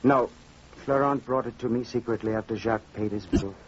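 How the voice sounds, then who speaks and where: An actor playing a grave, careworn middle-aged fisherman father in old-time radio drama style, halting and earnest. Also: medium pitch, fairly even intonation, lightly acted. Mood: grave, resolved. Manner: halting, earnest, repeating words. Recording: dull, noisy vintage radio recording.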